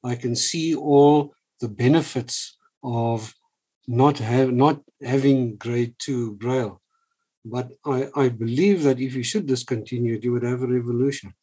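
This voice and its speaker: Brief: expert, mellow tone, recalling facts for a panel. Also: male voice, medium pitch, unhurried, slightly rough. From South Africa.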